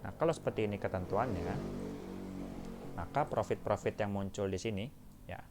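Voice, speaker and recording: A man's voice: lowish voice, moderate pace, over music. An Indonesian man giving an informal step-by-step tutorial as an accounting instructor, often addressing his listeners directly. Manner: addresses listeners as friends, frequent checking tags. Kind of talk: tutorial walkthrough, informal teaching.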